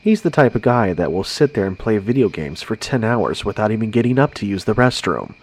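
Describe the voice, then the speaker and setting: A man's voice: on the low side, big pitch swings, fairly quick, husky. A man reading a first-person scary story in a conversational narration style.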